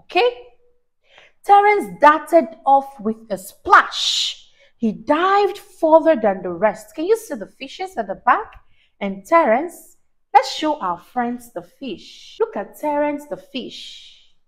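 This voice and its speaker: High-pitched, animated woman storyteller. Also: rough recording. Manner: expressive, teacher-like, deliberate emphasis, asks questions. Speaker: children's storyteller and host. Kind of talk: animated read-aloud to a child. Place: small indoor room, on a sofa. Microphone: clip-on lapel mic.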